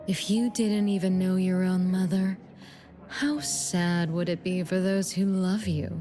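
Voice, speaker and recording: An actress playing an enigmatic shadow of an older sister in a video game, measured, knowing and gently condescending. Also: female voice, on the low side, fairly even intonation, faint music behind, natural voice. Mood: knowing, taunting.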